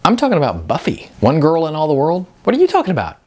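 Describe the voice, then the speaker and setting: A man voicing an excitable, inquisitive younger slacker in an audiobook, slangy and full of incredulous questions. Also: on the high side, fast talker, voice pushed up. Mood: excited. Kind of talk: audiobook character dialogue.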